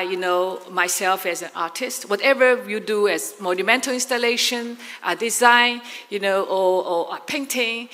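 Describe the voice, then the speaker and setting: A woman's voice: medium pitch, sharp tone. A woman from Shanghai speaking English with a Chinese accent as an artist on a panel, earnest and often searching for words. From Shanghai, China. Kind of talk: panel discussion answer. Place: large conference hall. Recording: reverberant conference hall.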